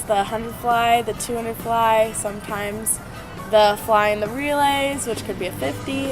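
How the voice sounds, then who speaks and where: A woman's voice: medium pitch, rough recording. Young American woman over prominent music. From Phoenix, Arizona, USA. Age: young adult. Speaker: student athlete, college swimmer. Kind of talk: interview answers in a profile feature. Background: music bed.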